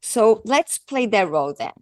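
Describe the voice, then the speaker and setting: A woman's voice: medium pitch, sing-song, normal speed, darkish tone, no echo, studio quality. A woman from Brazil with a thick Brazilian accent, speaking earnestly as a guest coach in an interview and often restarting her phrases. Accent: Brazilian Portuguese. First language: Portuguese. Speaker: guest, on-camera confidence coach. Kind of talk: interview conversation.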